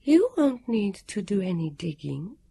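A woman voicing a serene, otherworldly female guardian in an audiobook, soft and lilting with a trace of a foreign accent. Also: a little high, expressive, unhurried, no echo, voice pushed up. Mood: serene, smiling. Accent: foreign lilt. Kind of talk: audiobook character voice.

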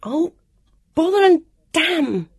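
A woman's voice: very high voice, very animated, takes it slowly, no echo, studio quality, pitched above the performer's own voice, heavily acted. A woman voicing a headstrong, impulsive girl in a storybook, blunt and exclamatory. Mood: frustrated.

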